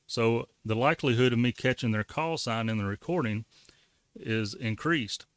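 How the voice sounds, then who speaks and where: A man's voice: medium pitch, normal speed, a bit bright, clean tone, no echo. A man giving a casual, folksy how-to walkthrough as the host of a hobby tutorial. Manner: folksy, colloquial. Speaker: ham radio tutorial host.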